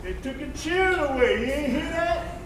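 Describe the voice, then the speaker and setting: Man acting distant, high-voiced worried field slave. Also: animated, normal speed, fairly bright tone. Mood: sorrowful. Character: subdued, worried enslaved field hand. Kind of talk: stage play acting. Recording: very echoey stage, distant, faint noise.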